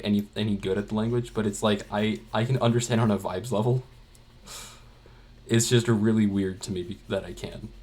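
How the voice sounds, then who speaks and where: A young man chatting casually while he draws, rambling with many fillers and restarts. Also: lowish voice. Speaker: art channel creator. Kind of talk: casual chat while drawing.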